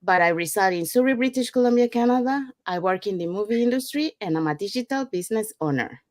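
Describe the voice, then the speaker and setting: A woman from Panama hosting a live online business talk, welcoming and often pausing with "uh". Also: mid-range voice, animated, no room sound, clean studio sound. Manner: welcoming, with frequent fillers. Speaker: host. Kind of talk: friendly webinar hosting.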